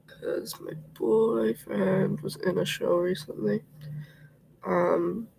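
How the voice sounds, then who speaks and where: A man's voice: sits high, fairly even intonation, takes it slowly, vocal fry, noisy background. A sick, congested young man chatting casually while getting ready on a home livestream, rambling and often slipping into a whisper. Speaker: vlogger.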